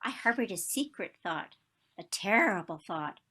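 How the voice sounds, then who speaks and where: A woman acting a wistful, romantic elderly woman in a stage play, with dramatic, deliberate delivery. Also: medium pitch, expressive, sharp tone, breathy edge. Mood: wistful, confessional. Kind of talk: one-act stage play performed on a video call.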